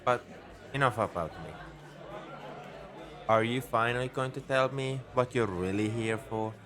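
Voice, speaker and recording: A man's voice: mid-range voice, a little slow, over music, close to an everyday voice. A man playing a shrewd, easygoing bartender at a space outpost in a conversational, knowing ASMR roleplay monologue. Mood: reflective, musing. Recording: crowd chatter behind the voice. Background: bar crowd murmur.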